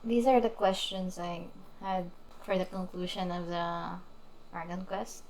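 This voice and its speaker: A woman's voice: fairly low pitch, fairly even intonation, a little slow, darkish tone, rough recording. A woman streaming a video game, musing casually and hesitantly as she reacts to the story. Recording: game audio and music behind.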